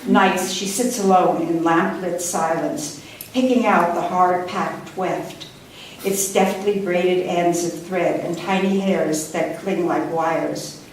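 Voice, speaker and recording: A woman poet reading her own work live at a book launch, with a measured, deliberate delivery and careful pauses. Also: lowish voice, fairly even intonation. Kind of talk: live poetry reading with brief introductions. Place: indoor room before a live audience. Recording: distant mic, echoey room, faint noise.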